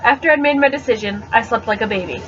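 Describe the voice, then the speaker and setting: High-pitched woman reading a story aloud. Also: fast talker, some chatter behind. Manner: expressive and theatrical, stumbles over words now and then. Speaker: amateur book reader. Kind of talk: dramatic reading of a novel, first-person teen narration. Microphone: webcam microphone. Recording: dull, slightly noisy webcam audio.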